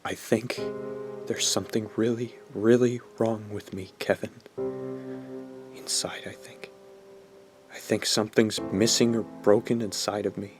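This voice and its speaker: A man playing a sardonic, guilt-ridden teenage boy in a radio drama, brooding and halting as he confesses. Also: fairly low pitch, level tone, over music, lightly acted. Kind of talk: radio drama acting with first-person narration.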